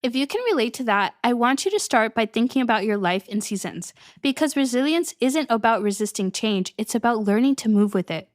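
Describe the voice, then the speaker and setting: A woman's voice: fairly high pitch, lively intonation, brisk, few pauses, no room sound, clean studio sound. A young adult woman hosting her own podcast as a coach, talking casually and upbeat, often breaking into little laughs. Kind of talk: solo podcast monologue.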